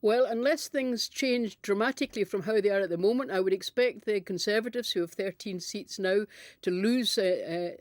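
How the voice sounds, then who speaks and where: A woman with a Scottish accent giving measured, candid and wry political analysis in conversation. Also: mid-range voice, no echo, clean studio sound. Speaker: political commentator, panel pundit.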